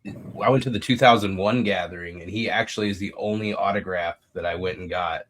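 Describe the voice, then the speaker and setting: Low-voiced rambling man, noisy line. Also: fairly bright tone. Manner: halting, rambling. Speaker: podcast panelist. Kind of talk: casual chat. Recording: clear background noise with clicks.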